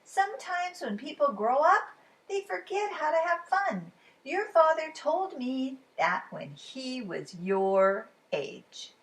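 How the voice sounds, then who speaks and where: A woman's voice: high-pitched, sing-song, slow pace, fairly bright tone, reverberant, far from the mic, voice pushed up, full character voice, rough recording. A woman voicing a playful, easygoing male imaginary friend in a children's storybook, breezy and coaxing. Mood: fond, reminiscing. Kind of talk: children's storybook read-aloud.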